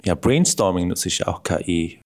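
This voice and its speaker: Low-pitched man giving interview answers. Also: animated, normal speed, darkish tone. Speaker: marketing employee being interviewed.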